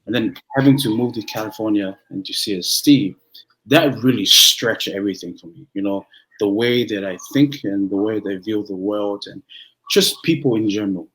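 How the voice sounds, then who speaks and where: A man's voice: fairly low pitch, a bit bright, rough recording. Young guy from tonga, pastor, english is his second language, lots of stops and restarts. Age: young adult. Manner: halting, many restarts and fillers. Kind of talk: conversational interview.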